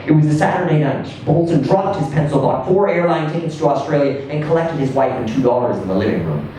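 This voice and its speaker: A man reading a first-person short story aloud in a conversational yet theatrical way, with deliberate pauses. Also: a little high, expressive, mellow. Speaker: reader performing a short story. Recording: roomy, echoing, distant mic with faint noise.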